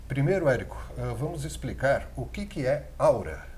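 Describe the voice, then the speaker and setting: A man, hosting a TV studio interview and asking questions in a friendly, relaxed way. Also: mid-range voice, moderate pace, a little hiss or hum. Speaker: TV host.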